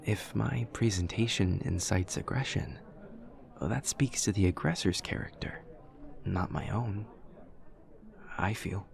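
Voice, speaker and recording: A man playing an awkward, earnest young demon student in an audio roleplay, speaking softly in stiff, precise, literal sentences. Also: deep voice, gravelly. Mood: earnest, measured. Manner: stiff, precise, literal, measured formal diction. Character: awkward, formal, earnest male demon student with horns and tail. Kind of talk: audio roleplay, scripted monologue to a listener.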